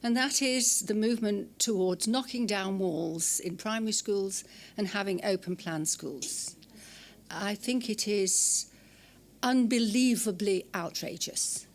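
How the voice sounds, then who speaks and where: A woman's voice: mid-range voice, takes it slowly, slight background noise. Forthright older English lecturer woman. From England. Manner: forthright, frequent ums and restarts. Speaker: guest lecturer. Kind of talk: academic lecture. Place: lecture room. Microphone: hand mic.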